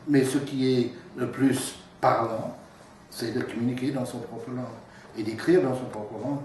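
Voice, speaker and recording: A man whose first language is English, answering in slow, measured phrases that fade at the ends. He is well back from the mic in a strongly reverberant room. A faint noise sits beneath the voice. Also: medium pitch, fairly even intonation, darkish tone, recorded from a distance. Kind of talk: thoughtful interview answers.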